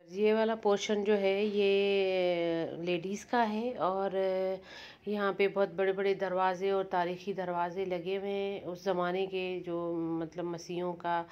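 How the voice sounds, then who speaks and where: A woman casually narrating a tour of a mosque, pausing often with fillers and restarts. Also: lowish voice, darkish tone, clear, resonant tone, lo-fi sound. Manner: hesitant, frequent "uh" fillers and restarts.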